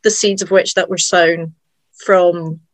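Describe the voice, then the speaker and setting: A woman's voice: lowish voice, fairly even intonation, slow, dry recording. A woman asking thoughtful questions in a casual interview conversation.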